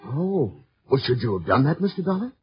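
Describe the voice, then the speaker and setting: An actor playing a befuddled but eager, mild-mannered insurance broker in a 1950s radio drama, hesitant and stammering. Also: male voice, mid-range voice, very animated, brisk, husky. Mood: flustered, eager. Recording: muffled, noisy vintage 1950s radio recording.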